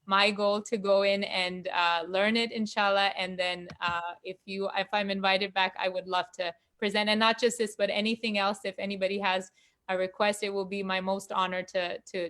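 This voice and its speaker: A woman guest musician speaking humbly on a video call, soft-spoken with halting restarts. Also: mid-range voice, flat delivery. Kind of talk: casual thanks on a video call.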